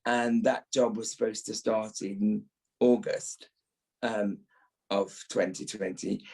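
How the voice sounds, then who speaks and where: A man's voice: on the low side, creaky, a little mellow. A middle-aged or older British man, an actor being interviewed, talking reflectively and repeating phrases as he thinks.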